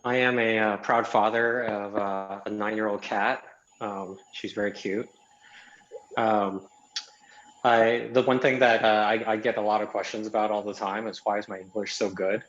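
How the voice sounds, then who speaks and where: A man's voice: on the low side, level tone, normal speed, a bit bright, reverberant, muffled recording. A man introducing himself on a webinar panel, hesitant, with many fillers.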